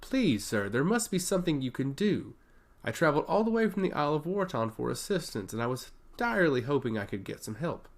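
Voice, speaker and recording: Guy reading an earnest envoy in a fantasy story, polite and pleading, very expressive pitch. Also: fairly quick, gravelly. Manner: respectful, earnest.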